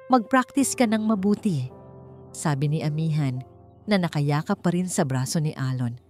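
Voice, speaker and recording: Woman reading a dramatic love story, lowish voice, music underneath. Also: darkish tone. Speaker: story narrator. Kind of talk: dramatic scripted narration of a romance story.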